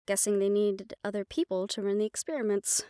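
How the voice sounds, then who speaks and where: A woman's voice: medium pitch, fairly even intonation, brisk, dark tone, clear, resonant tone, no echo, clean studio sound, lightly acted. A woman playing a confident, scheming female magic researcher in a scripted fantasy audio roleplay. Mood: explanatory, eager.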